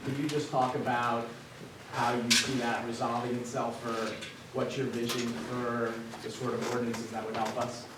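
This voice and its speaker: A man's voice: medium pitch, level tone, normal speed, very noisy. A man at a town meeting politely asking a candidate a direct question. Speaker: audience member asking questions. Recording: very echoey hall, distant mic.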